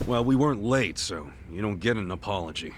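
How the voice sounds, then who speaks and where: An actor playing a cocky, good-natured street tough in an English video game dub, talking in a blunt, wry, casual way. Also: male voice, fairly low pitch, lively intonation, fairly quick, a little hiss or hum, lightly acted. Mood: defiant, wary.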